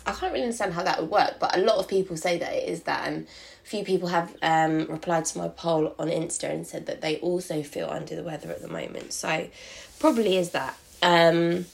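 Croaky-voiced young woman chatting. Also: on the low side, brisk. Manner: chatty, rambling, many ums and likes. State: croaky, sore throat. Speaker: podcast host. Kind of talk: casual solo podcast chat.

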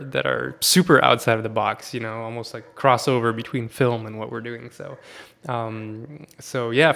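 A man's voice: mid-range voice, vocal fry. A man raised in Sweden, a podcast guest talking casually and at length, with many fillers and restarts.

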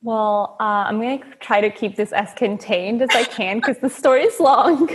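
A woman's voice: fairly high pitch, moderate pace, in a large hall, background noise. A woman from Venezuela in her thirties, a coach telling her story earnestly in a podcast interview. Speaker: guest, manifestation coach.

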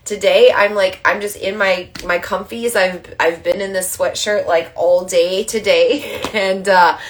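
A woman hosting a live online moon circle, slightly stuffy from allergies, chatting casually and gently guiding breathing. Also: mid-range voice, steady tone, unhurried, a little hiss or hum. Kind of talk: casual livestream with guided breathing. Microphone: livestream camera mic.

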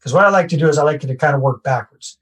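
Husky Canadian man explaining step by step. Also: on the high side, rapid-fire, fairly bright tone. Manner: conversational, self-deprecating, repeats key phrases. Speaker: real estate investor and guest. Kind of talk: podcast interview, explaining a process.

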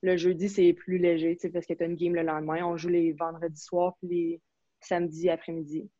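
A relaxed young woman with a Quebec French accent who talks in a loose, chatty way, piling on fillers and restarts. Her delivery stays flat, with little rise and fall. The recording is dry, a bit dull and lo-fi. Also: on the low side, a little fast, no room sound. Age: young adult. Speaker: interview guest, university hockey player. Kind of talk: casual conversational interview. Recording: slightly dull, lo-fi sound.

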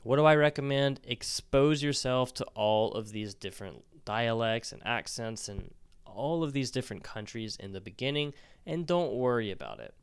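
A man's voice: medium pitch, lively intonation, normal speed, clean tone, dry recording. A young man hosting a language-learning podcast, talking casually and encouragingly with an occasional restart. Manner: conversational, encouraging, restarts mid-word. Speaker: Spanish-learning podcast host. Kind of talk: casual solo podcast advice.

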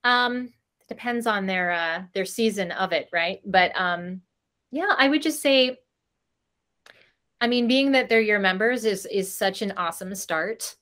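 A woman's voice: medium pitch, unhurried, fairly bright tone. A woman speaking as a podcast guest in an effusive, rambling, casual way with giggly asides. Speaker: podcast guest, princess party company owner. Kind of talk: casual video-call interview chat.

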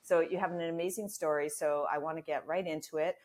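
A woman's voice: deep voice, steady tone, brisk, a little mellow, slight background noise. A woman hosting a podcast, chatting casually as she interviews a guest.

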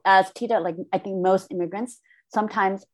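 A woman's voice: medium pitch, fairly even intonation. Korea-born woman, guest on a discussion panel, hesitant and searching delivery. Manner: thoughtful, halting, frequent restarts. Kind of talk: reflective conversation.

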